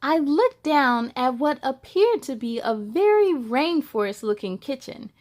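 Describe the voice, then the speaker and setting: A woman's voice: high-pitched, sing-song, measured pace, a little mellow. A woman reading a children's picture book aloud expressively, with casual wondering asides for young listeners.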